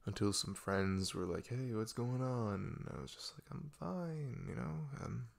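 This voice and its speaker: Low, husky, vulnerable boyfriend, acted by a man. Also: full character voice. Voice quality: husky, breathy, gravelly low voice. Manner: halting, hushed, sighing, long pauses. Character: depressed, vulnerable boyfriend confiding long-hidden grief. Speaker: ASMR roleplay voice performer. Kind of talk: intimate emotional ASMR roleplay.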